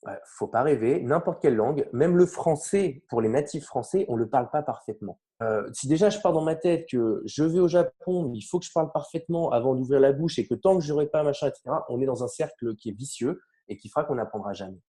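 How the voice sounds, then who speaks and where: A man from France talks through his way of learning languages in a loose, chatty interview. His voice is mellow and warm, sitting at an ordinary middle pitch. He gets animated and often lets sentences trail off into fillers. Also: fast talker, hardly any pauses. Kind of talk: casual conversational interview.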